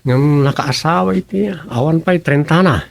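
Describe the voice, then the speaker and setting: A man's voice: a little high, moderate pace, gravelly. A man playing a bossy, talkative townsman with a scolding, gossipy manner in a radio comedy-drama.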